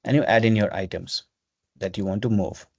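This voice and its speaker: A man's voice: lowish voice, brisk, vocal fry, a little mellow, slightly rough. Man presenting, dull video-call sound. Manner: step-by-step, instructional. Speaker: webinar presenter. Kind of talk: software walkthrough, explanatory. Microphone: video call microphone.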